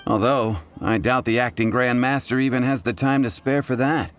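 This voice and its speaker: A man's voice: mid-range voice, fairly even intonation, moderate pace, a little hiss or hum, close to an everyday voice. A man playing a candid, good-natured tavern barkeeper in an anime video game, with a matter-of-fact conversational delivery. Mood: sheepish. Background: game music.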